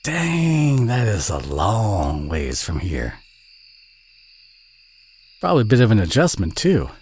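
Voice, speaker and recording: A man playing a friendly, modest country boy with a Southern US accent, chatty and gently teasing in an intimate audio roleplay. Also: on the low side, wildly swooping pitch, takes it slowly, slightly rough, full character voice. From southern United States.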